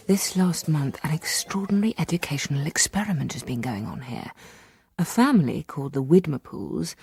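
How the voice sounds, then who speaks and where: A woman's voice: deep voice, warm tone, breathy edge, no room sound, studio quality, exaggerated voice. An actress playing a wry, sardonic woman narrating a letter in a radio drama, arch and mock-dramatic.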